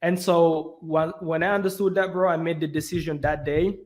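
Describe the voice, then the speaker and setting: A young man from Belgium, a guest in a casual interview, telling his story in an animated way with lots of "you know" and "right". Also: a little high, normal speed.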